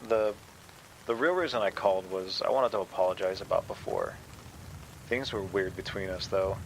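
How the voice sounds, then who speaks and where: A man playing an awkward, apologetic young friend on the phone in an audio drama, speaking in a halting, hesitant way. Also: deep voice, a little hiss or hum, low-quality recording.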